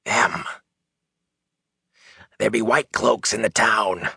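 A man voicing a ragged, nervous peddler in an audiobook, with a rasping, whining voice and rustic turns of phrase. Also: lowish voice, steady tone, slow pace, sharp tone, no echo, clean studio sound, voice pushed up, full character voice. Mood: furtive, fearful. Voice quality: rasping, sometimes dropping to a hoarse whisper. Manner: rustic dialect, nervous, wheedling rhetorical questions.

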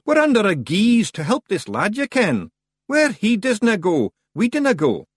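A man voicing a small, wild male fairy warrior chieftain with a Scottish accent, loud and hearty. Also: high-pitched, huge pitch swings, moderate pace, breathy edge, dry recording, clean studio sound, pitched above the performer's own voice, heavily acted. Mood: boisterous.

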